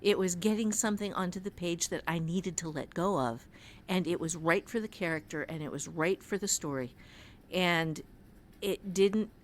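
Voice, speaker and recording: A woman's voice: on the low side, moderate pace, slight background noise. Emphatic woman giving writing advice. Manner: emphatic, earnest, repeats phrases for emphasis. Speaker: writing teacher and novelist. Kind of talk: conversational podcast advice.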